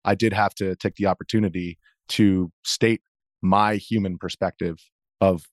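Low-voiced, earnest American man. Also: steady tone, moderate pace, no room sound, studio quality. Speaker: interview guest, video journalist. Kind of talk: conversational interview, explaining own perspective.

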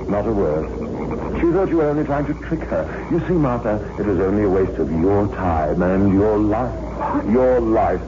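An actor playing a cold, calculating, murderous husband in an old-time radio suspense drama, measured, smugly polite and menacing. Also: male voice, fairly low pitch, expressive, close to an everyday voice. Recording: dull, noisy old radio recording.

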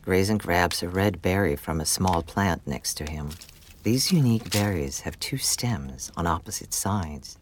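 A man narrating a scripted audio drama in the present tense, in a measured, dramatic storytelling manner. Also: sits low, lively intonation, slight background noise.

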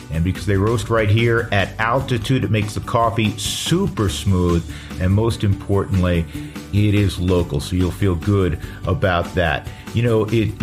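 A middle-aged man hosting a podcast, talking casually with frequent "uh" fillers as he reads ads and chats. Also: lowish voice. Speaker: podcast host. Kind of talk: casual podcast talk and host-read ads.

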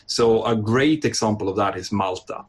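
Man from northern Sweden, airline training captain, low voice, unhurried chatty interview answers. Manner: relaxed, thoughtful, many fillers and restarts. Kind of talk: podcast interview.

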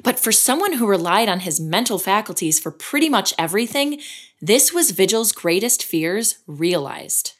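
A woman hosting and narrating an audio drama in a measured storytelling manner. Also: medium pitch, big pitch swings. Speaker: narrator and host. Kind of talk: scripted narration.

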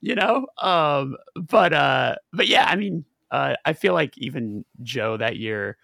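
Male podcast host, gravelly, chatty and rambling, dry close mic. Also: mid-range voice, animated, slow pace, a bit bright, dry recording. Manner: chatty, loose, many fillers and restarts. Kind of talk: casual podcast interview.

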